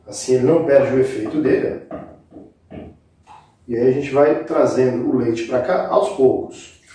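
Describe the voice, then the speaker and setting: A man's voice: mid-range voice, unhurried, warm tone. Echoey Brazilian man giving cooking instructions. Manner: informal, instructive, addressing viewers directly. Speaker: cooking show host. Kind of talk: casual step-by-step recipe demonstration. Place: indoor kitchen set with brick wall. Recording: very echoey room, distant microphone.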